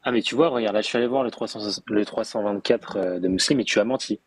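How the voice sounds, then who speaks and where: A man's voice: lowish voice, fast, husky, no echo. A man in a heated online debate, insistent and interrupting, demanding sources over a voice call.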